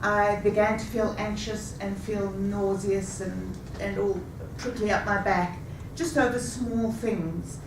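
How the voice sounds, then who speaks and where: A woman in her fifties telling a rehearsed personal story with a measured, deliberate delivery. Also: mid-range voice, fairly even intonation, noisy background. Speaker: speaker. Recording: echoey room, taken from a distance.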